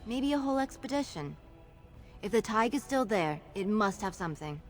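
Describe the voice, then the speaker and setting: A woman's voice: mid-range voice, expressive, normal speed, faint music behind, lightly acted. A woman playing an earnest, grateful young dwarf noblewoman in a fantasy video game. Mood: grateful, proud. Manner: earnest, heartfelt.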